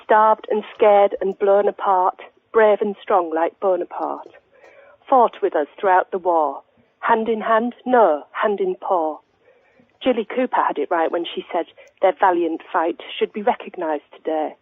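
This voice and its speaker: Solemn, sincere dinner lady with poetic pretensions, mid-pitched, on a thin dry telephone line. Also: unhurried, no echo. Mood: solemn, declaiming. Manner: solemn, declamatory rhyming recitation. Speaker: phone-in caller. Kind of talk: spoof radio phone-in acting.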